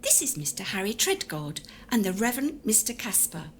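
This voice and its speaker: Imperious English widow for radio play, played by a woman: gravelly edge, swooping pitch, bossy formality. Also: big pitch swings, fairly quick, slight background noise. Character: brisk, haughty English widow of good family. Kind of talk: audio drama acting.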